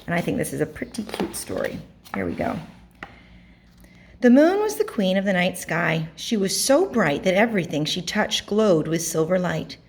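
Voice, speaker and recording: A woman reading a picture book aloud to children, narrating expressively with dramatic pauses and emphasis. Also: lowish voice, huge pitch swings, vocal fry, a little hiss or hum. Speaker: storybook reader.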